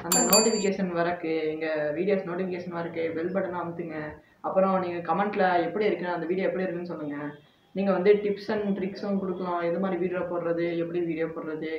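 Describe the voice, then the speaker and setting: Fast-talking man, very echoey. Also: high voice, fairly even intonation. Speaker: vlog host. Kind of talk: casual chat to viewers. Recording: roomy, distant, dull, clearly noisy home recording.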